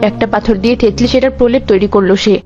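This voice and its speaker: A woman reading scripted storybook narration for an animated fairy tale. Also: fairly high pitch, racing, lo-fi sound. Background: loud music, birdsong.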